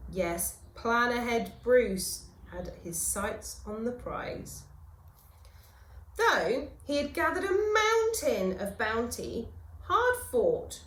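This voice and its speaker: A woman with a fairly high voice reads a children's storybook like a teacher at storytime. Her delivery is slow and theatrical, swinging widely in pitch with dramatic pauses. The room around her sounds echoey. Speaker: primary school teacher reading a story. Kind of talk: animated children's storybook reading. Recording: roomy, echoing room sound.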